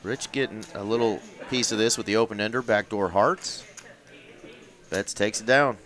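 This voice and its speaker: A man's voice: fairly low pitch, moderate pace, fairly bright tone. Folksy Texan poker commentator, man. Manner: folksy, dry joking play-by-play. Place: commentary booth.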